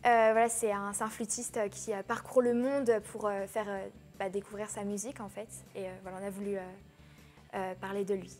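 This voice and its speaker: A woman's voice: medium pitch, little pitch movement, fairly quick, faint music behind. A teenage girl being interviewed about her songs, hesitant, with many fillers and restarts. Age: teens. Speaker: guest singer. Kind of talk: casual interview.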